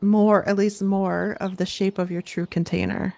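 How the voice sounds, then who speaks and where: Woman host doing casual coaching, creaky fry in her voice, mid pitch, affirming, reflects stuff back. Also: flat delivery, normal speed, mellow. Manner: affirming, reflects back what she hears.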